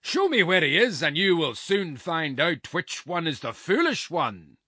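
An enormous storybook giant, blustering and impatient. He roars and growls his words in a rough, husky voice pushed well up in pitch, on a close, dry recording. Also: sing-song, a little slow, bright, forceful tone, no room sound, heavily acted. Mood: furious, roaring. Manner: roaring and growling. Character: huge, ugly, roaring Scottish giant, blustering and impatient.

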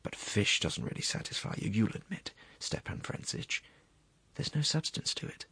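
Storybook figure, gluttonous court secretary acted by a male reader, wheedling, savouring, confidential, low-pitched, close dry sound. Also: sing-song, normal speed, creaky, breathy edge, no echo, full character voice. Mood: savouring, coaxing. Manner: savouring, coaxing, hushed confidential delivery.

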